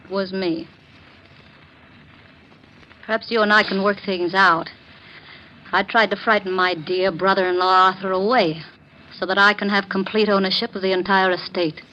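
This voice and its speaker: A woman's voice: mid-range voice, steady tone, moderate pace, long pauses, sharp tone, highs cut off, close to an everyday voice. An actress playing a cold, shrewd, scheming woman in a 1940s radio drama, firm and interrogating in manner. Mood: cool, scheming. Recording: noisy vintage 1940s radio recording.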